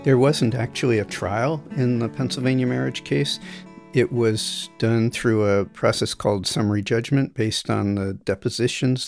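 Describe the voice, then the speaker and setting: A man recounting personal memories in a reflective, measured way for a podcast, with soft music behind him. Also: mid-range voice, creaky, no room sound, clean studio sound. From United States. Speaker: interviewee. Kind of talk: personal storytelling.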